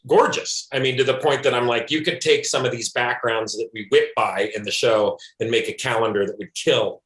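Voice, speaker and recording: A man speaks in interview as an animation showrunner. His voice sits at an average pitch, and the room adds clear echo. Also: steady tone, moderate pace, fairly bright tone. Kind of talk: interview talk. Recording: echoey room.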